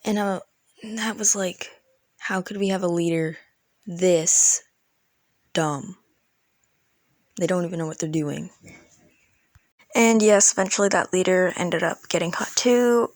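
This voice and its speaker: A woman's voice: fairly low pitch, unhurried, pauses often, dry recording, studio quality. A woman telling a casual, rambling storytime in informal, youthful slang. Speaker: storytelling video creator. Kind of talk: casual storytime about an online roleplay game.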